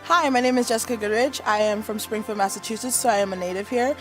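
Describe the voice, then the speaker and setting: A woman's voice: a little high, fast talker. A young woman student speaking casually, a little hesitant, in a testimonial. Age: young adult. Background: background music.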